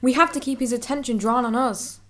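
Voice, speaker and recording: A woman plays a brave young heroine in a fantasy radio drama. Her voice is high, and she speaks with earnest, resolute conviction. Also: fairly even intonation, brisk, a bit bright, slight background noise, close to an everyday voice. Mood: urgent.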